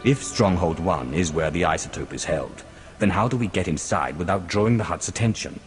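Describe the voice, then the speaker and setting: A cold, commanding Sith lord from a video game. The voice sits extremely low, and every order comes curt and imperious. Music plays behind it. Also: male voice, lively intonation, a little fast. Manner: curt, authoritative. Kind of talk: video game cutscene acting.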